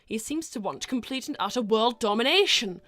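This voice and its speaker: Woman playing a prim, haughty princess, high-voiced. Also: expressive, normal speed, fairly bright tone. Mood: wary, prim. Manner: formal, prim, indignant, bookish wording. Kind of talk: improvised in-character livestream roleplay.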